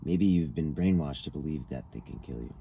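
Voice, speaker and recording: A man's voice: very deep voice, fairly quick, vocal fry, dark tone. A man reading a scripted interview dialogue aloud, taking each speaker's lines in turn. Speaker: reader of a written transcript. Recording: noticeable background noise.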